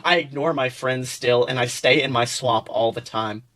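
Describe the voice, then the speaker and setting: A man's voice: mid-range voice, normal speed, a bit bright, close to an everyday voice. A man voicing a gloomy, grumpy ogre who tells his sorrows in a melodramatic, dismissive way. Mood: mournful.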